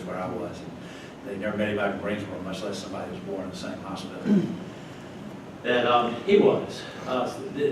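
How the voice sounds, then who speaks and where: An older man from North Carolina, giving a chatty, folksy slide talk to a club with chuckling asides. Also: low voice. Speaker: club guest speaker. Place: meeting hall. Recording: echoey room from far off, noisy.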